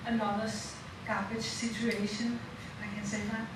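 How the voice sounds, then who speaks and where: A woman with a Danish accent speaking as a panelist in an informal talk, halting and full of fillers. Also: medium pitch, little pitch movement. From Denmark. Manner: halting, with many fillers and soft laughs. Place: lecture room. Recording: echoey room from a distant mic, noisy.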